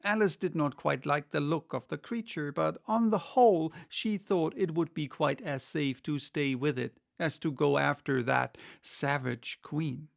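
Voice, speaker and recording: A man narrating a storybook in a measured, slow way. His voice sits a little high for a man and carries a clear creak as he reads the scripted text. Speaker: audiobook reader.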